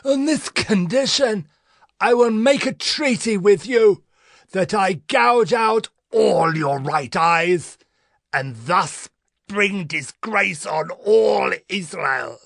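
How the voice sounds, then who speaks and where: A man acts out a menacing biblical warlord with a high, creaky voice. He speaks slowly and threateningly in a dramatized scripture tale, cruel in temper and recorded very dry. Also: animated, a bit bright, no echo, exaggerated voice.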